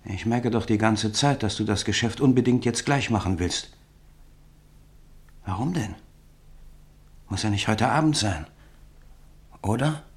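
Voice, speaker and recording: Suspicious deliberate guy in a radio drama, lowish gravelly voice, keeps probing. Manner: deliberate, needling, suspicious questioning. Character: wary, probing man reluctantly selling a revolver. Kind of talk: German radio play dialogue.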